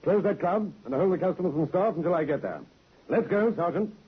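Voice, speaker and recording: A brisk Scotland Yard inspector. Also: male voice, high voice. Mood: dry, commanding. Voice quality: husky, lively. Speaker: actor. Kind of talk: 1950s radio acting. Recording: muffled vintage radio broadcast.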